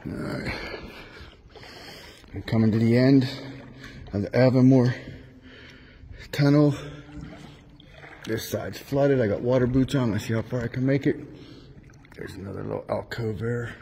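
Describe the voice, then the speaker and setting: A man's voice: mid-range voice, a little slow, creaky, pauses often, dark tone, slight background noise. A man casually narrating to his phone camera as he wades through a flooded tunnel, talking plainly to himself. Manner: plain, matter-of-fact, talking to himself while wading. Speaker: vlogger exploring a tunnel. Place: inside a long flooded stone tunnel. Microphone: phone camera held while walking.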